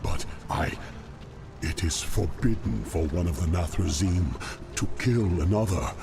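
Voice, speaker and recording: A sinister demon lord, speaks deep and slow. The gravelly voice swings widely in tone, servile to its master, over game music. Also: male voice, animated, full character voice. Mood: reluctant. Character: demonic male dreadlord, sinister yet subservient. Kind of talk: video game voice acting.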